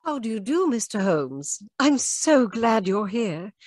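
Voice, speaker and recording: Colonel's niece, played by a woman: genteel, concerned, high animated voice, close and dry, radio drama. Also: unhurried, no echo. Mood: polite, concerned. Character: polite, worried young English lady, colonel's niece.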